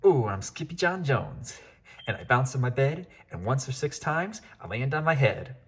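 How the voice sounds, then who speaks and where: A man's voice: mid-range voice, big pitch swings, moderate pace, a little hiss or hum. A man voicing a playful kitten boy who puts on a Spanish accent and speaks in sing-song rhymes. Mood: bouncy. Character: playful young kitten boy who thinks he's a Chihuahua.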